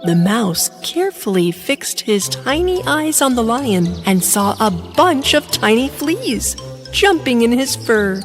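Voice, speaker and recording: A woman's voice: fairly high pitch, very animated, slow, soft background music. A woman reading scripted narration for a children's bedtime story.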